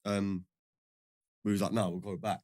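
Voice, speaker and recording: A man's voice: low voice, little pitch movement, normal speed, darkish tone, no echo, clean studio sound. A young man, telling stories from his football career in a casual podcast chat, slangy and laughing often. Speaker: guest, former footballer.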